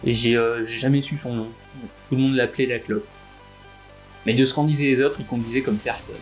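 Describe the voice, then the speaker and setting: A naive young crook in his twenties played by a man, with a mid-pitched voice over faint music. He talks nervously and informally, halting as he recounts things in an audio drama. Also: fairly even intonation, close to an everyday voice. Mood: hesitant, confiding. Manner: hesitant, informal, stammering. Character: nervous, hesitant young male robbery suspect, naive rookie.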